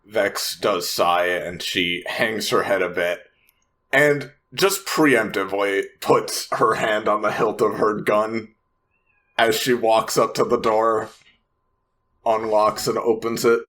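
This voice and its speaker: A man's voice: on the low side, steady tone, takes it slowly, fairly bright tone. A man playing a tabletop roleplaying game, narrating his character's actions in the third person.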